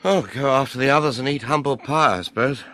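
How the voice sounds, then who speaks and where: An actor playing a chastened, practical British survivor in a radio drama, frank and reflective. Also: male voice, medium pitch, fast, fairly bright tone, a little hiss or hum, lightly acted. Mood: rueful.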